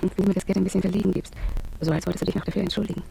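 A woman playing a cool, sensual lover in a radio drama, speaking in a soft, hushed, intimate way. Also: mid-range voice, fairly even intonation, racing, vocal fry, slight background noise. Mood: intimate, questioning.

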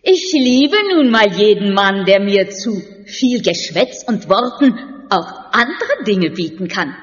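A woman's voice: medium pitch, lively intonation, slow. An actress playing a coquettish, haughty stage leading lady with a theatrical delivery in a German audio drama. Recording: dull sound in a reverberant hall.

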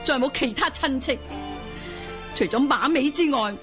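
High-voiced caring Cantonese mother. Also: unhurried, dull audio, over music. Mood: earnest.

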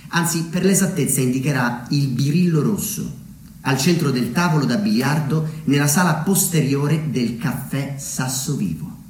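A man delivering a theatrical narrative monologue, deliberately, with dramatic pauses and emphasis. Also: mid-range voice. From Umbria, Italy. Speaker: solo theatre storyteller. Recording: roomy echo with background noise.

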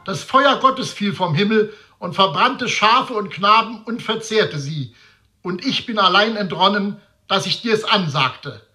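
An actor playing a fervent preacher, solemnly and emphatically declaiming calamities from scripture. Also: male voice, sits high, animated, unhurried, pushed and bright, faint music behind, exaggerated voice. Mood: fervent, dire. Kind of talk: declaimed Bible reading.

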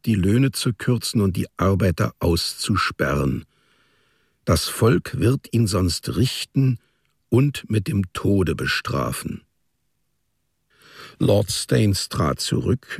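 A male narrator reads scripted literary prose for a radio drama. His voice is deep, creaky and husky. The sound is close and dry. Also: dry recording. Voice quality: gravelly, husky.

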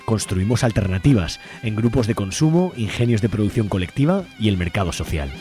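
A man's voice: lowish voice, expressive, brisk, warm tone. A man with an accent from Spain reading scripted narration as co-host of a radio show. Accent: Spain Spanish. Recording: music bed behind the voice. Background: music.

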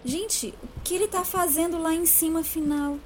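Actress as feisty scolding woman, high-pitched, commanding, impatient, audio drama, noticeable noise. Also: normal speed. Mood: puzzled.

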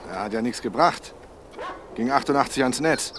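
A man's voice: mid-range voice, moderate pace, background noise, lightly acted. A man playing a middle-aged anti-nuclear commune leader in a radio crime drama, curt and dismissive with a mocking brotherly tone. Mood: defiant, dismissive.

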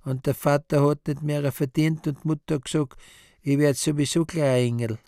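A man's voice: on the high side, fairly even intonation, normal speed, dark tone, dry recording, studio quality, close to an everyday voice. A man voicing naive child angels who speak by rote in a drawling, sing-song way with odd stresses.

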